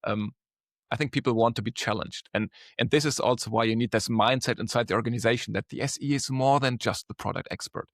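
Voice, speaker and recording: A man's voice: medium pitch, fast talker, dry recording, studio quality. A man in his thirties with a German accent, speaking frankly as a guest in a casual podcast interview and repeating words as he restarts. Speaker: guest, solution engineer.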